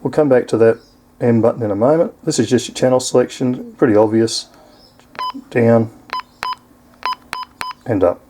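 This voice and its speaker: A man's voice: medium pitch, normal speed, pauses often, mellow, slight background noise, poor audio. An Australian man giving a casual, explanatory hands-on product review in an informal way.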